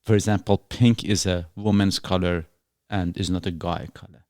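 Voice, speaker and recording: A man's voice: low-pitched, steady tone, moderate pace, darkish tone, no echo, clean studio sound. A man from Iran with a Persian accent, hosting a casual chat and asking playful, probing questions. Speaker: host. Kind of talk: casual conversation.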